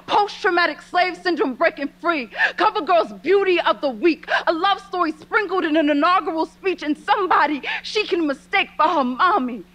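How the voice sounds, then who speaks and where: Young woman doing spoken word poetry, really high voice, impassioned n dramatic. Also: fairly bright tone. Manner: impassioned, deliberate dramatic delivery.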